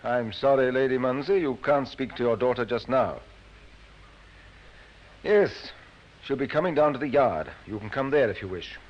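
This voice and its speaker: A man's voice: mid-range voice, slight background noise, natural voice. A man playing a stern, methodical Scotland Yard inspector with a British accent in a 1950s radio drama. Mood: firm. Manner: brisk, authoritative. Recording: dull old radio recording.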